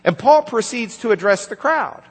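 A man preaching a sermon, retelling a scripture story in a conversational way and quietly acting out the lines of its people. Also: very high-pitched, animated, moderate pace, slightly rough. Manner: conversational, acts out dialogue in quiet asides. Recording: reverberant hall with mains hum.

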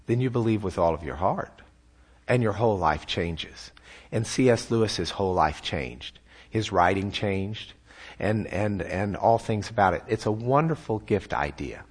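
A man with a Texan accent speaks in a fairly low voice, giving a church talk. His manner is folksy and self-deprecating. He often repeats words as he builds toward a point. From Texas. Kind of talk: sermon-style talk with slides.

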